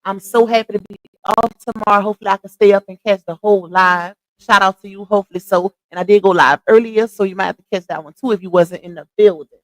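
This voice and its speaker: A woman hosting a casual livestream, chatting with viewers, greeting them by name and calling them y'all, her voice starting to go hoarse. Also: medium pitch, fast, no echo, low-quality recording. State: voice going hoarse. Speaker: livestream host.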